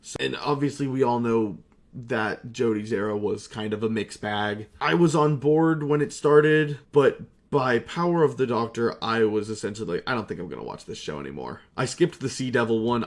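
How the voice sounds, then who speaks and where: Candid, sweary man rambling casually. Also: medium pitch. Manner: candid, self-deprecating, sweary. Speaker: voice actor reflecting on hobby career. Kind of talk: rambling, confessional casual monologue.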